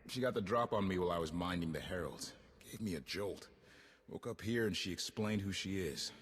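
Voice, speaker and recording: A man playing an easygoing, wry spaceship crewmate in a video game, talking casually in a husky voice. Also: sits low, expressive, a little fast. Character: easygoing, wry male spaceship-crew companion. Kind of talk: video game cutscene acting. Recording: long reverb.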